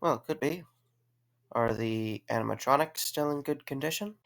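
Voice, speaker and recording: A man's voice: mid-range voice, moderate pace, vocal fry, a bit bright. A man playing a practical, wry visitor in an audio drama, speaking in a dry, matter-of-fact, sceptical way.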